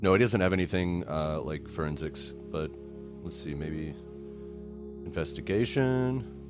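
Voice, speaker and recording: A man's voice: deep voice, unhurried. A man chatting casually as a player in a tabletop game. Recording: music behind the voice.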